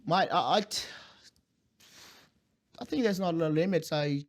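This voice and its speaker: A young man from South Sudan whose first language is Dinka, telling his life story in an interview with many pauses, fillers and restarts. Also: on the high side. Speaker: guest.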